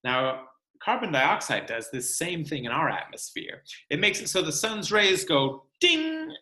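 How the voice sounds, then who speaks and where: A man reading a book aloud to children like a teacher, expressive and instructional, pausing to explain. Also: a little high. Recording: roomy, echoing sound.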